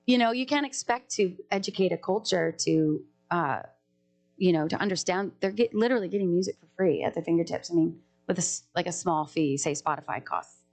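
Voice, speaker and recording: A woman with a fairly low voice talks casually as a podcast guest. She is a singer-songwriter who rambles freely, breaks off mid-sentence and starts over. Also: animated, brisk, a little mellow. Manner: chatty, jumps between thoughts, many restarts.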